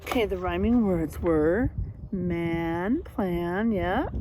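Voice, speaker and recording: A woman's voice: on the low side, expressive, takes it slowly, dark tone, slight background noise. A woman reading a children's story aloud as a teacher would, speaking directly and encouragingly to young listeners. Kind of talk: children's read-aloud with rhyme and vocabulary talk.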